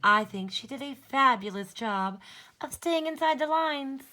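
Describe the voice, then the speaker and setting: A woman's voice: high voice, animated, a little slow, pushed and bright, voice pushed up, full character voice. A woman voicing a sassy, indignant storybook crayon with a bossy, emphatic delivery. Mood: pleading, proud. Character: sassy, indignant pink crayon scolding a boy.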